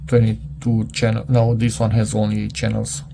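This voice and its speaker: A man thinking aloud in a casual, unscripted hands-on product review, halting and muttering to himself as he goes. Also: lowish voice, completely flat delivery, creaky, noisy background.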